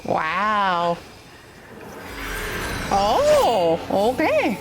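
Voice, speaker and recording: A woman's voice: medium pitch, huge pitch swings, very slow, rough recording. A woman reacting casually to a video game in short, giggly interjections and murmured comments. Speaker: gaming reactor.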